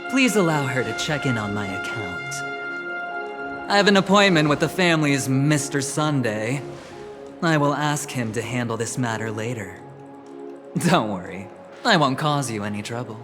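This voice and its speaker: A man voicing a smooth, scheming young businessman and gambler in a video game, talking in a sly, playful, teasing way. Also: mid-range voice, expressive, moderate pace, lightly acted. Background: game music.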